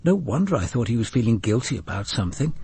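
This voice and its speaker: A man voices a fussy, well-meaning churchgoing spinster in an audio story. The talk is chatty and bustling, in a very husky, creaky voice with lively pitch. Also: fast, no room sound, heavily acted. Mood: reproachful.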